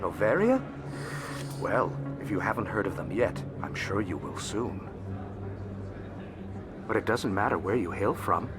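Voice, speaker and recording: A man playing a courteous alien merchant in a video game, with a formal, measured salesman's patter. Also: fairly low pitch, big pitch swings. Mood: courteous, salesy. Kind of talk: video game character acting. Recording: music behind the voice.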